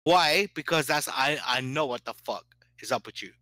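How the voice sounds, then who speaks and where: A man's voice: mid-range voice, moderate pace, bright, forceful tone, dry recording. A man in a heated online voice-chat argument, aggressive and insulting, swearing constantly. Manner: aggressive, insulting, heavily profane. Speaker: debate stream participant.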